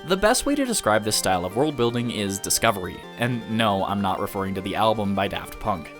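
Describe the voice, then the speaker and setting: Expressive man narrating a scripted video essay. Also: lowish voice, a little fast, over music. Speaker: video essayist reviewing an anime.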